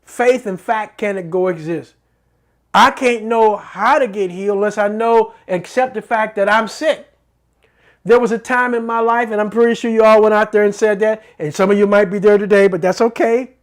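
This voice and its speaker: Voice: medium pitch, steady tone, normal speed. A middle-aged host of a faith-based talk show, giving an earnest, preacherly teaching monologue that repeats phrases for emphasis.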